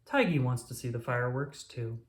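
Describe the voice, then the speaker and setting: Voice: mid-range voice, a little fast, echoey room, close to an everyday voice. A narrator voicing a sweet, curious little boy tiger cub in a children's storybook. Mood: eager.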